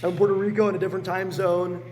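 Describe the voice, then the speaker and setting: A man in his late teens with a hoarse, sick voice, talking bluntly and energetically as a podcast guest. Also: high voice, very fast, in a large hall, a little hiss or hum. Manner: energetic and blunt, swears freely. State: hoarse, sick with a sore throat. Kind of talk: casual podcast chat.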